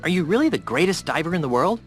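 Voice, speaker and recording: An actor playing a mild, boyish young pirate adventurer with deadpan wit in a comedy video game. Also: male voice, on the high side, fast, faint music behind. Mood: wry, curious.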